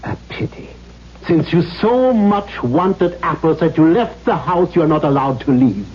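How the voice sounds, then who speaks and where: An actor playing a cruel, domineering middle-aged father in a 1970s radio drama, mock-gentle and formal as he menaces his daughter. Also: fairly high pitch, very animated, a little slow, slightly rough, heavily acted. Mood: mock-gentle, probing. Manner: mock-gentle, formal phrasing, erupting into demands. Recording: dull, noisy 1970s radio recording.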